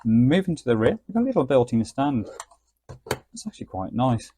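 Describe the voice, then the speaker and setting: A man narrating a casual product review in a chatty, measured, explanatory way. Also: medium pitch, very animated, dark tone, a little hiss or hum. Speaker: radio gadget reviewer, host.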